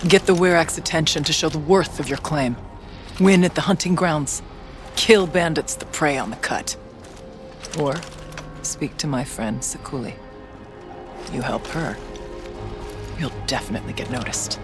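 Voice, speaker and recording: A man's voice: a little high, unhurried, long pauses, lightly acted. Actor as solemn shaman, music behind. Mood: instructive. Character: solemn, wry Banuk shaman guiding an outlander. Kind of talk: dramatic video game dialogue.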